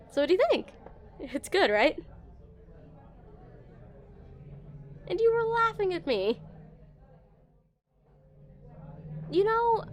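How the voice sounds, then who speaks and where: A woman playing an awkward, smitten game developer on a dinner date in a romantic audio roleplay, nervous and stammering. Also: very high voice. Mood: awkward, playful. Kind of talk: scripted romantic roleplay, spoken to the listener.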